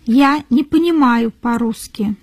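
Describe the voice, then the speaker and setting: A woman reading model sentences for learners in a careful, deliberate way, repeating each phrase. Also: on the high side, breathy edge, no room sound. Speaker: native-speaker model reader.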